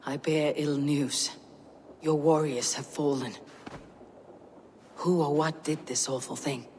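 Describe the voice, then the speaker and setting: A woman's voice: deep voice, fairly even intonation, takes it slowly, darkish tone, slight background noise. A woman playing a stern, stoic female Viking warrior in a video game. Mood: grave, resolute. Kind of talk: dramatic video game acting.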